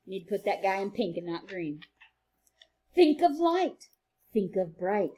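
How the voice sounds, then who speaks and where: A woman teacher reading a picture book aloud to children, playful and sing-song, with quieter chatty asides. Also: medium pitch.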